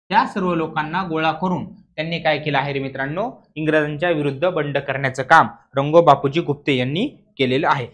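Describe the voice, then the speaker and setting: A man's voice: on the high side, fairly bright tone. Maharashtrian man teaching, emphatic and repetitive. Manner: repeats key points, poses questions to students and answers them. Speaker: history teacher for competitive exam coaching. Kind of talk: classroom lecture explaining exam questions.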